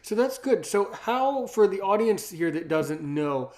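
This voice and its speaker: Higher-voiced man, effusive interview host. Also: animated, a little slow, a little mellow. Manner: effusive, wordy, circling around questions. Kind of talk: casual interview chat.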